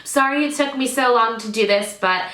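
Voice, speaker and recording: A woman in her thirties gives a chatty beauty review to camera at home, her voice slightly nasal while she gets over a cold. Her tone is bright and forward, sitting a little high, and she doubles up words for emphasis. The room around her has a noticeable echo. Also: normal speed. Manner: chatty, enthusiastic, stresses words by repeating them. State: recovering from illness. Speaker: beauty YouTuber. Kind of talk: casual product review to camera. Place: home room with drawer units, indoors. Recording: echoey room.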